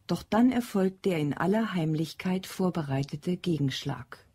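A woman's voice: lowish voice, expressive, measured pace, warm tone, breathy edge. A woman narrating a radio play with composed, factual scripted delivery. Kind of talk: scripted narration, plot summary.

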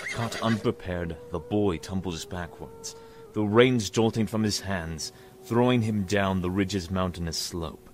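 A man's voice: deep voice, noisy background. A man giving scripted audio drama narration in a literary, dramatic storytelling manner.

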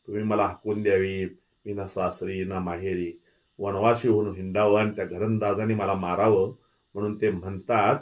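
A man voicing a devoted, emotional village woman, soft and pleading, in a rustic story reading. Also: lowish voice, expressive, normal speed, close to an everyday voice. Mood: devoted, hurt. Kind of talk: audiobook character voice.

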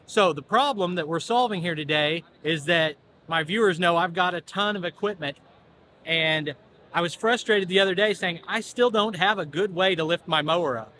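Bright-voiced Kansas farm host. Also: male voice, high-pitched, normal speed, a little hiss or hum. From Southeast Kansas. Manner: easygoing, plainspoken, enthusiastic. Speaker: farm equipment channel host. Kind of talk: casual walkaround chat at a trade show. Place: large indoor expo hall. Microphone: handheld camera close up.

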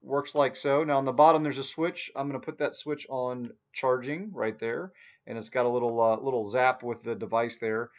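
A man's voice: steady tone, normal speed. A man in his forties giving a casual, folksy, unscripted product review to camera in a small room at home. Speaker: product reviewer, video host. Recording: dull, muffled low-fi sound.

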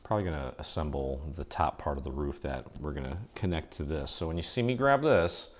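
A man hosting a casual step-by-step paper craft tutorial, chatty and relaxed, thinking aloud with fillers as he works. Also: rumbling low, fairly quick.

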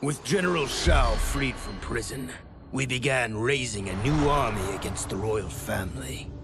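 A man's voice: medium pitch, moderate pace, lightly acted. An actor playing a fanatically loyal rebel soldier, telling his story in a grave, devoted way for a video game. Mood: grave, ominous. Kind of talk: scripted game story narration. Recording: loud music behind the voice.

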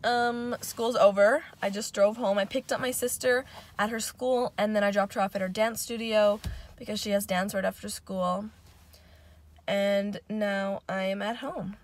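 Hoarse teen girl vlogging. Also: steady tone, measured pace. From Canada. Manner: low and half-whispered at home, louder and livelier on the go. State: lost voice, hoarse. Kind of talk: casual vlog chat. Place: bedroom, car and school. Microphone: handheld camera at arm's length.

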